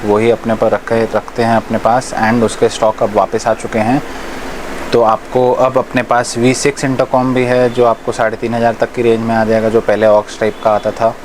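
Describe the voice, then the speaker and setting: A man's voice: medium pitch, monotone, normal speed. A man from India giving a casual, explanatory product demonstration, mixing English words into his talk. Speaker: shop presenter reviewing riding gear. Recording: clear background noise, vehicle sounds.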